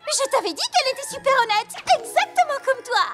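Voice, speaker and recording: Eager girlish cartoon pony, very high voice, wildly animated intonation, exuberant. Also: a little fast, over loud music. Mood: exuberant, excited. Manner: exuberant, gushing. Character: bubbly, excitable, eager young female pony. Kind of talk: French cartoon dub.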